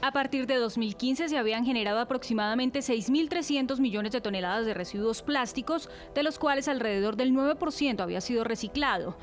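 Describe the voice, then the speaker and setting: A woman co-host reading news in a crisp, formal delivery. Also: a little high, a little fast, few pauses.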